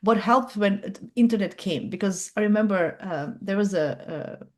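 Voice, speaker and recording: A middle-aged woman from Croatia, hosting a casual group video call on astrology and talking haltingly, with many fillers and restarts as she searches for words. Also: mid-range voice.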